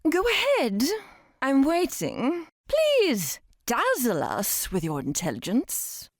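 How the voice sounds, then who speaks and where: An imperious angel judge rules her courtroom in an audio drama. Her voice is high and very animated, full of impatient sarcasm, and recorded dry and close. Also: slow, no room sound, full character voice. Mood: sarcastic, irritated. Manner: commanding, sarcastic.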